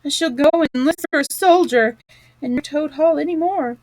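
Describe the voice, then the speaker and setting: A woman voicing a boastful, excitable male toad in a storybook, talking loud and bragging. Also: high-pitched, normal speed, no room sound, voice pushed up, lightly acted, low-quality recording. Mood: sobbing.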